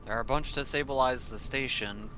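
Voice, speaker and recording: A man's voice: medium pitch, brisk, highs cut off, background noise. A man speaking casually as a player in a tabletop roleplaying game.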